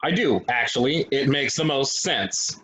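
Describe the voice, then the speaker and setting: A man's voice: mid-range voice, lively intonation, a little slow, fairly bright tone, breathy edge, low-quality recording. A man at a stage play table reading, playing a cynical, troubled local who talks bluntly and dismissively. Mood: assertive.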